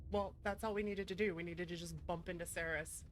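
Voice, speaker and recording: A woman's voice: on the low side, steady tone, brisk, slight background noise. A woman chatting casually on a livestream in a playful way, swearing freely and muttering to herself. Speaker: streamer, VTuber host.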